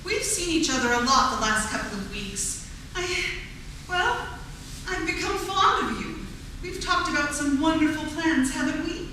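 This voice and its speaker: Woman as earnest practical sweetheart, high bright voice, pleading, radio drama acting, very echoey hall. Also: lively intonation, measured pace, heavily acted. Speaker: community theatre actor. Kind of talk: 1940s radio play acting. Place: community theatre stage performance. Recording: echoey hall, distant mic, faint noise.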